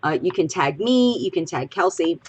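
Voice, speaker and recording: A woman's voice: lowish voice, expressive, moderate pace, husky. A woman from Louisiana with a Southern accent, co-hosting a casual livestream, rambling chattily and a bit tipsy. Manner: chatty, rambling, giggly asides. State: tipsy from drinking. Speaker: podcast co-host.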